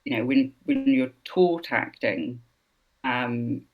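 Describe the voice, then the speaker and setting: A woman's voice: very deep voice, slow, dull audio. A woman from Scotland with no Scottish accent, a voice actor chatting thoughtfully in an interview, with many fillers. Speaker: voice actor, guest. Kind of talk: casual interview chat.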